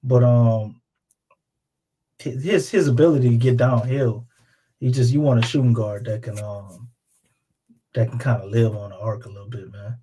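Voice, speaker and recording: American guy hosting a live sports chat, slangy and joking, swears a bit, mid pitched voice. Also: fairly even intonation, moderate pace, pauses often, dark tone, husky, low-quality recording. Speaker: sports show host.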